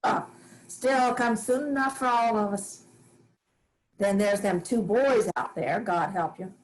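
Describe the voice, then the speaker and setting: A woman playing a firm, loving old farm grandmother in a staged play reading, giving brisk, kindly orders in plain rural dialect. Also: mid-range voice, close to an everyday voice, poor audio. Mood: tender, resigned.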